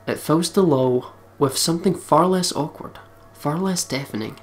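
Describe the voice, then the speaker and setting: A let's play commentator reading a visual novel aloud in an expressive storytelling way, breaking off for sweary, joking asides. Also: mid-range voice, moderate pace. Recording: music behind the voice. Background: game music.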